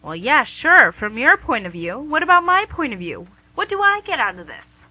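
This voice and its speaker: A woman's voice: high-pitched, very animated, normal speed, sharp tone, no echo, heavily acted, poor audio. A woman playing the Devil in a comic radio play as a smug, teasing, chatty salesperson. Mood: haggling. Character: the Devil, wheeling-dealing, smug, teasing tempter. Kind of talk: radio play comedy acting.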